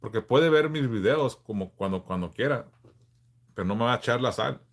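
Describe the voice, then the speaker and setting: A man in his forties from Southern California, hosting a casual livestream and answering viewers in a frank, laid-back way with halting restarts. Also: mid-range voice, animated. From Los Angeles, California. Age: 40s. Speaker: livestream host.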